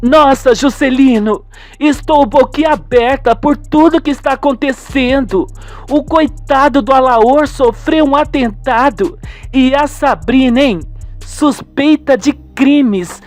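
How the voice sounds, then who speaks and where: A gossipy, easily shocked wife, as a man puts her on in a radio soap. She exclaims in a high voice at an unhurried pace. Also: faint music behind.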